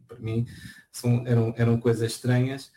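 A middle-aged man from Portugal with a European Portuguese accent, answering interview questions in a relaxed way, often hesitating and restarting his sentences. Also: mid-range voice, moderate pace, a little hiss or hum. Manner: hesitant, many restarts and fillers.

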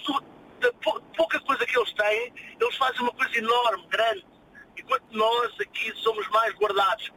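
High, bright Portuguese man on the road. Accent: European Portuguese. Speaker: interview guest, restaurant owner. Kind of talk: casual phone chat. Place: inside a vehicle on the road. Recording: distant, noisy call from moving vehicle.

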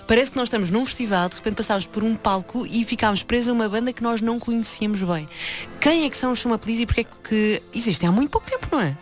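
A woman's voice: fairly high pitch, expressive, racing, faint music behind. A woman with a European Portuguese accent, interviewing casually as a radio co-host.

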